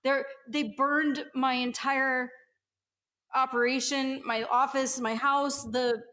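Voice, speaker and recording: Tabletop game role: shell-shocked woman who lost everything, played by a woman, high voice, halting, on the edge of breakdown. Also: level tone, sharp tone, full character voice. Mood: distraught, grieving.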